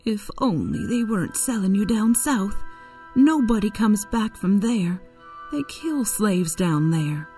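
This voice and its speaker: A woman reader acts out a grieving wife from a storybook. She is mournful, fearful and pleading, with soft music underneath. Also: mid-range voice, animated, slow pace, pitched above the performer's own voice.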